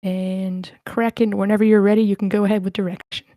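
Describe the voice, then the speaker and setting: Casting: informal female host of an online script read, mid-pitched, closely recorded with little room sound. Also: level tone, brisk, mellow, no room sound, studio quality. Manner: informal, chatty. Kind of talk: casual chat, organizing a cast.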